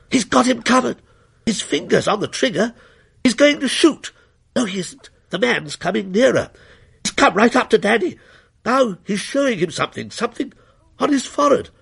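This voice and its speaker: Ghost story son, played by a man: excitable, scared, bossy youngster, raised voice with wide swings. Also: extremely high pitch, creaky, breathy edge, voice pushed up, exaggerated voice. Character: excitable frightened boy, bossy, breathless reporting. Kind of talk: dramatic ghost story reading.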